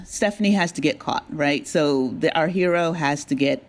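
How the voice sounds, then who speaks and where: A woman being interviewed as a drama creator, talking casually with an animated, enthusiastic manner. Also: deep voice, moderate pace.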